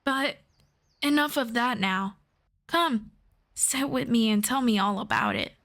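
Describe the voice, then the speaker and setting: A woman voicing a gentle, teasing young elf friend in an unhurried fantasy roleplay audio drama in ASMR style. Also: fairly high pitch. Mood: concerned, curious.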